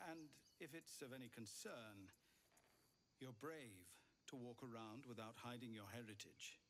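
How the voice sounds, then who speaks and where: An actor playing a weary, earnest leader of refugees in a video game, speaking in a measured, grave, pleading way. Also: male voice, mid-range voice, slight background noise. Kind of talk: dramatic video game dialogue. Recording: loud music behind the voice.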